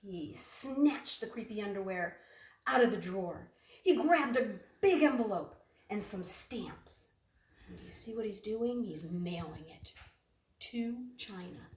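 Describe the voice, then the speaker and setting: A woman reads a storybook aloud to children in a roomy, echoing space, a little away from the mic. Her delivery is expressive and unhurried, with dramatic stretches and questions put to her young listeners. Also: mid-range voice, distant mic, a little hiss or hum. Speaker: storybook reader. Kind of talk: children's picture-book read-aloud with asides to young listeners.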